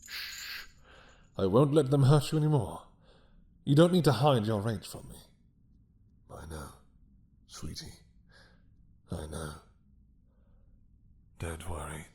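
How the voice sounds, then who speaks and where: A man playing a possessive, doting mad dragon king in a romantic roleplay drama, with a husky, breathy voice that often drops to a whisper and a regal, seductive manner. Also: lowish voice, expressive, a little mellow, full character voice. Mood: tender, vengeful. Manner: slow, regal, seductive purring menace.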